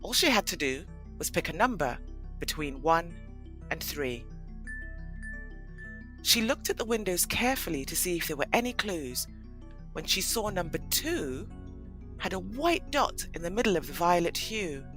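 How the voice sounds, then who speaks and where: Expressive woman narrator over faint music. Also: lowish voice, normal speed, long pauses. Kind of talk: scripted storybook narration for an audio play.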